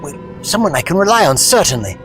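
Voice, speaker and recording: A man playing a frail, kindly old gentleman, weary and courteous, in an audio drama. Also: on the high side, sing-song, brisk, soft background music. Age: older. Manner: doddery, courteous, sighing and groaning. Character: frail, weary, kindly old Doctor leaning on a walking stick.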